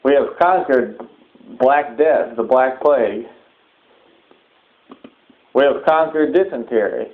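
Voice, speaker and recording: Man preaching over thin, phone-like audio. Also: mid-range voice. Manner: halting, deliberate phrasing with repetition for emphasis. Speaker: preacher giving a public address. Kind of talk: sermon-like extremist political address.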